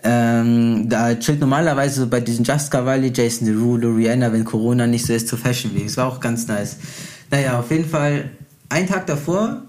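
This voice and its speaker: A man's voice: mid-range voice, brisk, darkish tone. A young man with a Brazilian background chats casually, telling anecdotes in a slangy, boastful, unfiltered way. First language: Portuguese. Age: young adult.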